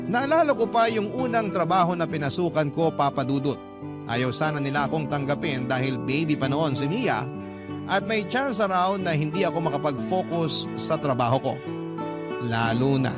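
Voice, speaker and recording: A man with a Filipino accent reading a listener's confessional letter as a radio host, in measured, confiding dramatic narration. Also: fairly high pitch. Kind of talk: dramatic first-person story narration. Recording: loud guitar music under the voice. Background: plucked guitar music.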